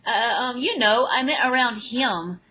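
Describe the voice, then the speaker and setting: A woman's voice: on the high side, a little slow, pushed and bright, breathy edge, pitched above the performer's own voice, heavily acted, rough recording. A woman voicing an awkward, sheepish teenage boy in a fan audio drama, hesitant and stammering. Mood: flustered.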